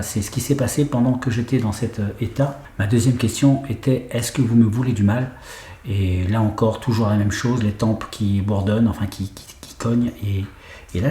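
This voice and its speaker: A man's voice: medium pitch, brisk, dark tone, reverberant. A man with a head cold talking hesitantly to camera as he investigates an old house, with many fillers. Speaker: paranormal investigator vlogger. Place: large room in an old house, indoors.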